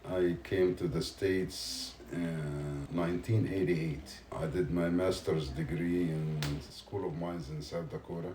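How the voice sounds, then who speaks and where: Very deep older man from Gaza. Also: steady tone, a little slow, few pauses, warm tone, reverberant, slight background noise. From Gaza Strip.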